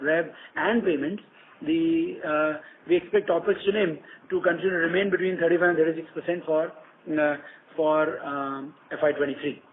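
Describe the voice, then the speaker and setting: A man with an Indian accent presenting company results on an earnings call, businesslike and halting, with frequent ums and repeated words. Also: on the high side. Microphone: conference call phone. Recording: tinny phone conference line with noise.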